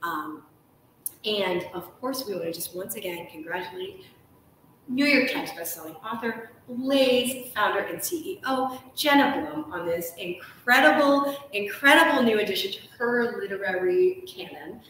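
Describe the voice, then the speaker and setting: Woman, 30s, live show host. Bright, forward voice, effusive delivery, very reverberant lounge. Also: mid-range voice, animated, unhurried. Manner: effusive, gushing. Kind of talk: enthusiastic live event hosting. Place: large hotel lounge, indoors. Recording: echoey room, faint noise.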